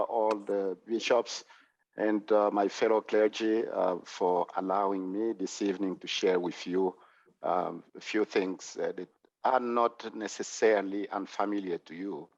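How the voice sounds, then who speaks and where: Congolese-accented clergyman, low voice, measured delivery, dull dry call audio. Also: fairly even intonation, dry recording. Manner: measured, with frequent "uh" and "um". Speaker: clergy presenter. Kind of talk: formal online presentation. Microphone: video call.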